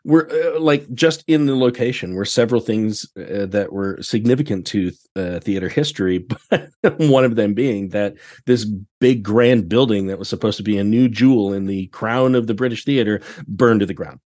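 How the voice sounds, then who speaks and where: A man, a podcast guest, chatting casually and telling anecdotes with animated, exclamatory reactions. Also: fairly low pitch, normal speed. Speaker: podcast guest, theatre director.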